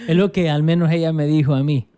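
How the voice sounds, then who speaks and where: A Salvadoran man in his young adult years, speaking as a guest pastor about his own life. His voice sits slightly high yet sounds warm and dark, with a clean, resonant finish. He speaks in a reflective, unhurried way, often circling back to restart a thought. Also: steady tone, smooth. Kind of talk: casual interview, telling his life story.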